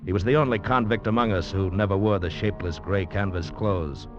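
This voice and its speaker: Man acting convict narrator, deep voice, grave, dramatic storytelling, musical underscore, dull old radio recording. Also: steady tone, brisk, over music, close to an everyday voice. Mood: grave, brooding. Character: grave male convict barber narrating his own tale. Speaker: first-person narrator.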